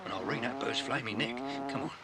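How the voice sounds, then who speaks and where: A man playing a gruff, threatening henchman in a 1980s audio drama. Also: mid-range voice, fast talker, a loud crowd, close to an everyday voice. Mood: angry, threatening. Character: gruff, threatening male henchman hunting hidden children.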